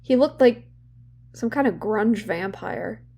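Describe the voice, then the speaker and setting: A young woman playing a guilt-ridden, wry and bitter therapy patient in an audio drama, speaking candidly and haltingly. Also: a little high, dark tone, breathy edge, full character voice. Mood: wry, sardonic. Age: young adult. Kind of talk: naturalistic audio drama dialogue.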